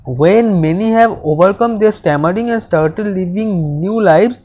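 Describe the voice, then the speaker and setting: A speaker, reading self-affirmations aloud slowly and deliberately, stammering with repeated words and restarts. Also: mid-range voice, dark tone, muffled recording. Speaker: speech practice coach.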